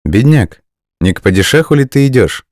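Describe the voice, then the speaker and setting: A man voicing a wise, forgiving female snake in a folk tale, with measured, counselling delivery. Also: medium pitch, big pitch swings, darkish tone, no room sound, clean studio sound, pitched above the performer's own voice, lightly acted. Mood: reassuring.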